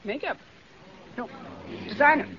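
A woman's voice: high-pitched, a little slow, fairly bright tone. A woman of twenty-one, giving short unscripted answers as a radio quiz contestant. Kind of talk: unscripted replies to a host. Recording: muffled, noisy old radio recording.

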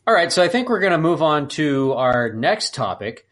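High-pitched, animated man on a podcast. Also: normal speed. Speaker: podcast co-host. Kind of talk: casual film discussion.

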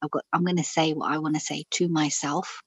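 Reflective, husky-voiced middle-aged woman. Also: deep voice, fairly even intonation, a little fast, no room sound. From Pakistan. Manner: frank, reflective, with frequent fillers and restarts. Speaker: guest. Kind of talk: personal storytelling in an interview.

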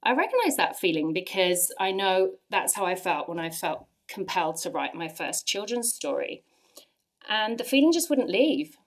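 A woman in her forties with an English accent, earnestly sharing a personal faith testimony with lively emphasis. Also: medium pitch, moderate pace. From England. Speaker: church speaker sharing testimony.

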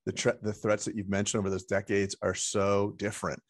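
A man's voice: on the low side, flat delivery, brisk, dry recording, clean studio sound. A man hosting an interview, asking chatty, curious, rambling questions.

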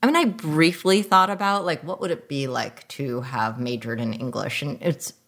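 A middle-aged woman, born in the United States with Mandarin as her first language, interviewed as a filmmaker and telling animated anecdotes with laughter. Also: sits low, moderate pace. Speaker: filmmaker guest. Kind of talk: interview storytelling.

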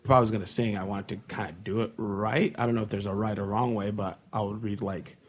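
A man's voice: on the low side, steady tone, fast. An American man chatting casually and telling stories in loose slang, with some swearing. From born in Mexico, raised in Long Beach, California from about age three. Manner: slangy, swearing, quotes own thoughts. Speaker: hardcore band singer, interview guest.

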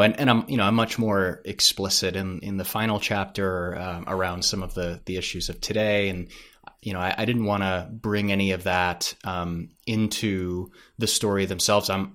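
Very deep-voiced man, thoughtful interview guest. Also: fairly bright tone. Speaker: podcast guest, audio drama creator. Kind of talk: casual interview chat.

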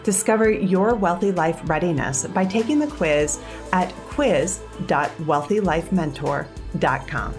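A woman reading a scripted podcast advertisement in a smooth, persuasive way. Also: lowish voice, a little slow, music in the background. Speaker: ad narrator. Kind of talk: scripted ad read.

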